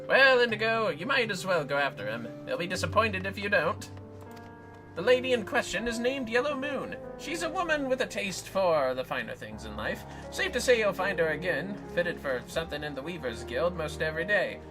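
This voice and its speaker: A woman voicing a cagey, rough-spoken male informant in a video game, wry and colloquial. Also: on the low side, very animated, moderate pace, over music, low-quality recording. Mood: dry, matter-of-fact. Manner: colloquial, dropped grammar, wry.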